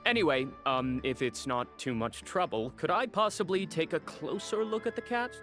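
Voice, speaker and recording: A man's voice: on the high side, expressive, moderate pace, fairly bright tone, faint music behind. An actor playing an evasive, polite male animal shelter director in a video game. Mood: polite, apologetic. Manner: hesitant, explaining, polite. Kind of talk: English game dub dialogue.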